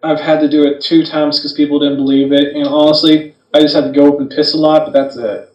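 A man with a slightly high voice chats on a podcast from a little way off the microphone in an echoey room. He recounts personal anecdotes matter-of-factly, in halting, casual phrases. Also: flat delivery, darkish tone, dull audio. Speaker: podcast co-host. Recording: roomy, distant from microphone.

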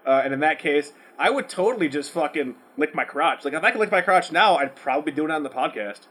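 A man's voice: a little high, expressive, quick pace, fairly bright tone, breathy edge. A man co-hosting a podcast, bantering casually with brash, blunt opinions and heavy swearing. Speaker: podcast co-host.

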